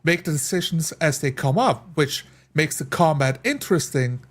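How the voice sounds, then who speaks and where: A man's voice: on the high side, animated, normal speed. A man in a casual discussion of gaming, explaining things through rhetorical questions. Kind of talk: casual discussion of game tactics.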